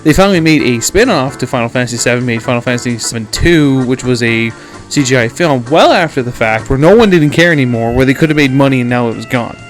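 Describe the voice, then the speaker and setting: A man's voice: mid-range voice, fast, faint music behind. A blunt, emphatic man with a Canadian accent giving opinionated film commentary as a podcast host, sometimes swearing.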